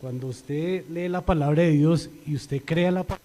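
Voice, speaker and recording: A man's voice: fairly high pitch, unhurried, noisy background. A middle-aged Colombian man with a Colombian accent giving an earnest church testimony, his voice rising when he quotes scripture. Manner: earnest, rises and grows louder when quoting scripture. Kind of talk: spoken religious testimony. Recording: long reverberant hall.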